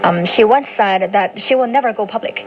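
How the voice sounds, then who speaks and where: A woman's voice: medium pitch, a little fast. A woman presenting a radio talk show as its host, heard over a shortwave broadcast. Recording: noisy muffled shortwave radio broadcast with hum.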